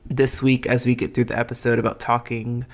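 A woman's voice: a bass voice, little pitch movement, fairly quick. A woman co-hosting a podcast from an empty apartment, chatting casually with frequent giggles and fillers. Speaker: podcast co-host. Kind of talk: casual chat.

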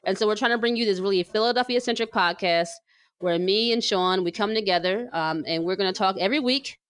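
A woman's voice: medium pitch, a little fast, a bit bright, no echo. An American woman from New Jersey co-hosting a casual, chatty podcast, speaking with emphatic repetition. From New Jersey, United States. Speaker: podcast co-host.

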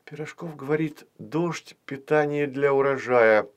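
A man's voice: medium pitch, measured pace, pitched above the performer's own voice, close to an everyday voice. A man voicing a bored, impatient little girl in a storybook, with a pleading, whiny manner.

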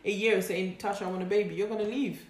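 A woman's voice: mid-range voice, steady tone, fast talker, roomy sound, heavy background noise. A woman of twenty-one co-hosting a casual group chat, teasing her friends and laughing often. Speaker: podcast co-host.

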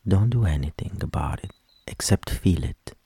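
A man leading a guided meditation in a soft, soothing voice with gentle instructions that trail into whispers. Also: deep voice, expressive, vocal fry, slightly rough, dry recording. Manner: slow, gentle instructions with long pauses.

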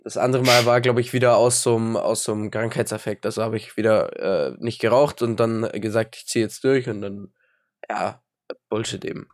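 A man's voice: fairly low pitch, fairly even intonation, moderate pace. A young man with a cold, chatting casually as a podcast co-host, rambling and self-mocking with lots of hesitations. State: has a cold. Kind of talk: casual chat.

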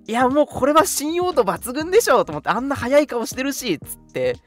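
Young man, radio co-host, animated storyteller, fast talker, music in the background. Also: very high voice. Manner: animated, acts out reactions while telling stories. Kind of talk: casual chat, recounting an outing.

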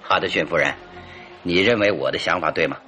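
A man's voice: low-pitched, a little fast, pushed and bright, soft background music. An actor playing a confident, self-satisfied detective in a radio drama, theatrical and emphatic as he expounds his theory. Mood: smug, expounding.

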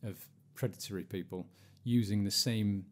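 Man in his forties, mellow voice. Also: lowish voice, fairly even intonation, moderate pace. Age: 40s. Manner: chatty, jokey, self-deprecating. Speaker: podcast host. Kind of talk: casual podcast chat.

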